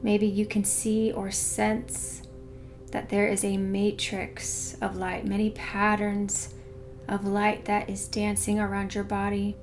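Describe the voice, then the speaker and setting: A woman guiding a meditation in a soothing, unhurried way, hushed in places. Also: mid-range voice, completely flat delivery. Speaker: meditation guide. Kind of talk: guided meditation narration. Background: background music.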